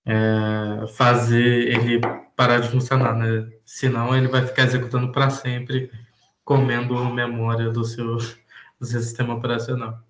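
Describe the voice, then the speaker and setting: A man explaining a programming point to students, pausing often with fillers. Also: medium pitch, completely flat delivery, reverberant. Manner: halting, many "é" fillers while explaining. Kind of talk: informal programming lesson.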